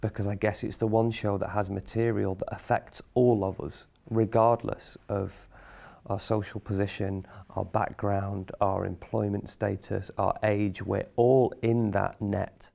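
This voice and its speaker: Low, creaky-voiced man explaining reflectively. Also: flat delivery, measured pace, warm tone. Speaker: theatre company member. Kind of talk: explanatory interview talk.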